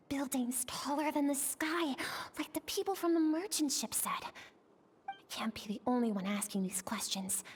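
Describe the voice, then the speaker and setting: A woman's voice: high voice, breathy edge. A woman playing a spirited, curious and stubborn young girl in a video game. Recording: long reverb, faint noise.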